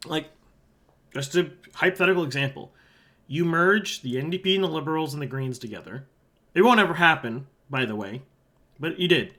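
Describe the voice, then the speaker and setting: A man's voice: a little high, fairly quick. A man from Halifax with a sore throat, giving casual solo commentary as he walks through an election forecast map. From Halifax, Canada. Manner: casual and conversational, drops quieter aside asides while pointing at a map. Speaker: election analyst, channel host.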